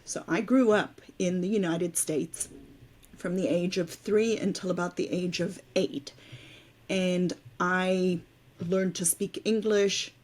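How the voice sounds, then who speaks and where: A woman's voice: lowish voice, takes it slowly. A woman in her fifties from South Africa, with Afrikaans as her first language, telling her story openly as a podcast guest. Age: 50s. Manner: open, storytelling, relaxed. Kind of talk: casual interview talk.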